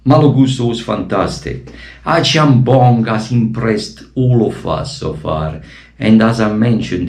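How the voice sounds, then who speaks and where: A man from Italy with an Italian accent, giving emotional, impassioned commentary as a football fan vlogger and bursting into shouted appeals, his voice wearing thin. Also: lowish voice, creaky. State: losing his voice.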